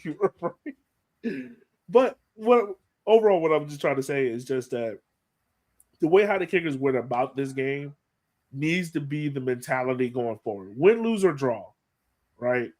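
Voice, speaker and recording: A man hosting a sports podcast, talking through a match in an animated, casual way and often checking his points with a quick "right?". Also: medium pitch. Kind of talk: casual match analysis chat.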